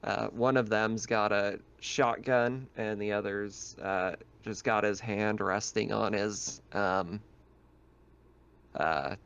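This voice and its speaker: A man's voice: on the low side, steady tone, slow pace, gravelly, fairly bright tone, clean tone, muffled recording. A man narrating a tabletop roleplaying game as game master, explaining scenes in a conversational way.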